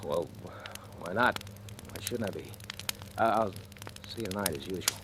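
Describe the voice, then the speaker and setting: An actor playing a desperate fugitive thief in a 1940s radio thriller, with an urgent, haunted delivery. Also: male voice, deep voice, animated, moderate pace, background noise. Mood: guarded, quiet. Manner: stammering, pleading, urgent. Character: desperate handcuffed fugitive thief, male, frantic and scheming. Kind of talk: 1940s radio thriller acting, narrating and in dialogue. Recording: old radio recording with music.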